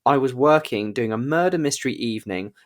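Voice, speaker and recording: A man's voice: medium pitch, animated, brisk. A man hosting a conversational interview, putting long, rambling questions with effusive enthusiasm. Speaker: interviewer, podcast host and actor.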